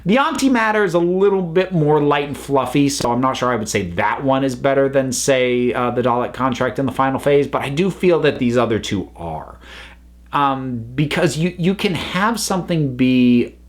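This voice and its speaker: A man's voice: mid-range voice, moderate pace. A man hosting a review video, talking casually to viewers and leaning on repeated words for emphasis.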